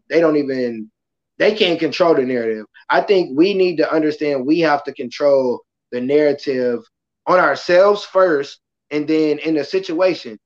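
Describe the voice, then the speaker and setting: A passionate, loud-voiced man hosting a casual podcast talk, acting out quotes as he goes. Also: a little high, highs cut off. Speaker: podcast host; kindergarten school worker.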